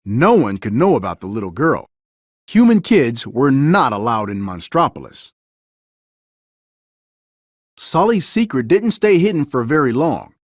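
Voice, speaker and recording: A man reading a children's story aloud as scripted narration, with an expressive, dramatic storytelling delivery. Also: medium pitch, unhurried, long pauses, no echo, clean studio sound. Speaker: storybook reader.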